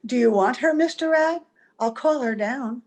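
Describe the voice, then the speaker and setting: Woman acting a high-voiced, wistful gentlewoman. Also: moderate pace. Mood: coolly polite. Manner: earnest, gentle, melodramatic. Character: wistful, secretive mother posing as a young visitor. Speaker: actor in an amateur radio play. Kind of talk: old-time radio drama acting. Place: at home, recorded over video call.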